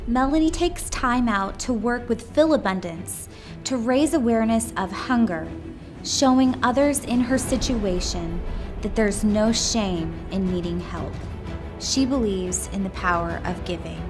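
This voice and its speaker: A woman's voice: medium pitch, measured pace. A woman narrating a scripted tribute, read aloud from a written submission. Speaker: reader of nomination stories. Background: background music.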